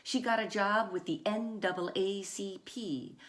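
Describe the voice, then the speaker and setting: A woman author reading her children's picture book aloud in deliberate short phrases, with dramatic emphasis on key words. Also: mid-range voice, steady tone, a bit bright.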